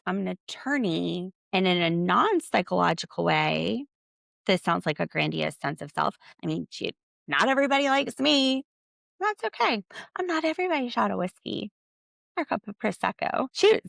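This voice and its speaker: An American woman hosting a podcast, giving casual, opinionated commentary in an animated, sarcastic, slangy way. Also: medium pitch, creaky, dry recording, studio quality. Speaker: podcast host.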